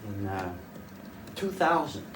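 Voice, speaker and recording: A man's voice: fairly low pitch, very animated, slow pace. Older man telling family stories from afar. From rural northwest North Dakota. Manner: rambling, folksy, with frequent uh's and restarts. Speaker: folk fiddler giving a talk. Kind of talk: casual storytelling to a small audience. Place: room at a folk fiddlers' gathering. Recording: distant mic, faint room noise.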